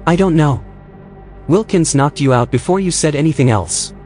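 An actor playing a literal-minded, slightly peevish station technician in a science-fiction audio drama. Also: male voice, fairly high pitch, animated, moderate pace, a little mellow, close to an everyday voice. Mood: matter-of-fact. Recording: music bed behind the voice.

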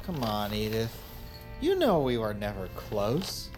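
Cold doctor with animated pitch. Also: male voice, over music. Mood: dismissive. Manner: dismissive, halting, accusatory. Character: cold, self-important male doctor, resentful and dismissive. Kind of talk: audio drama acting.

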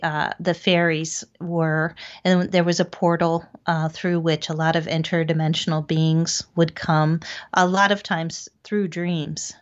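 An American woman with a fairly low voice shares personal stories as a podcast guest. She describes things in halting, conversational phrases. The sound is a little dull and band-limited. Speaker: podcast guest, paranormal investigator.

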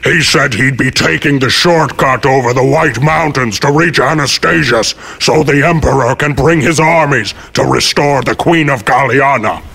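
Great Yeti chief played by a male narrator: stern, menacing, gravelly rumble, formal authority, fantasy audiobook monster. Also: normal speed, hardly any pauses, pushed and bright, heavily acted. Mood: stern, commanding. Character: great Yeti chief, huge commanding man-eating beast.

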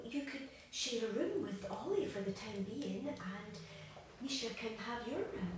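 Woman acting a high, gushing, bossy young mother. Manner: gushing, breezy, flighty. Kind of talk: audio drama acting. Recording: very echoey, distant, faint noise.

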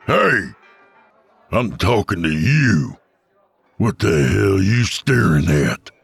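A man voicing a brutal old-west gunslinger with a gravelly, threatening voice. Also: low-pitched, expressive, takes it slowly, a bit bright, no echo, faint music behind, full character voice.

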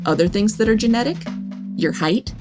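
A woman hosting an educational podcast, reading a scripted narration in a gentle, reassuring manner. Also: medium pitch, animated, normal speed. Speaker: podcast host. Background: background music.

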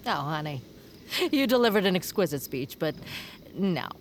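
An actress playing a wry, kindly novelist who mentors young fans in an audio drama, speaking in a fond, knowing way. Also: female voice, low-pitched, lively intonation, normal speed, a little hiss or hum.

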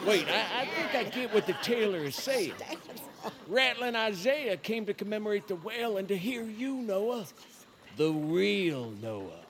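An actor playing a kindly, excitable cartoon townsperson in a family audio drama. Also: male voice, high voice, measured pace, background noise. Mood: gently urging. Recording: crowd and outdoor ambience behind.

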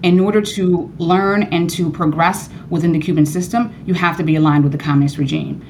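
Earnest Cuban-born woman, slightly low voice. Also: a little fast, a little hiss or hum. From Cuba. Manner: earnest, animated, rising high when emphatic. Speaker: professor and author, guest. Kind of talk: radio interview.

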